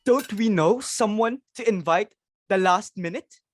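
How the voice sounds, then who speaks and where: A woman's voice: mid-range voice, unhurried, slight background noise, natural voice. A woman playing a fussy, snobbish society hostess and mother in a dramatic reading of a stage comedy, speaking in a haughty, exclamatory way. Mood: fussy, disdainful.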